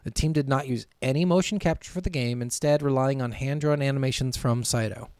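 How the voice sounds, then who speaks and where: A man's voice: medium pitch, brisk, a little mellow, clean tone, no room sound. A man co-hosting a podcast, chatting informally with plenty of swearing and commenting on his notes as he goes. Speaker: podcast co-host. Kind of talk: casual chat, reading notes and commenting.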